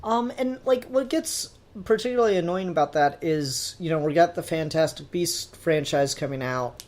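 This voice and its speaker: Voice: mid-range voice, darkish tone. A podcast co-host giving opinions in a conversational way during a casual fandom discussion.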